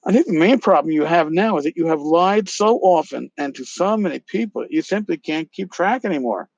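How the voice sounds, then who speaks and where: Scornful accuser, read out by a man, sarcastic, relentless lecturing, slightly high voice, dramatic reading. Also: expressive, brisk, vocal fry, no room sound, highs cut off. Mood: scornful, accusing. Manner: sarcastic, accusatory, long-winded. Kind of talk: dramatic reading of an email exchange.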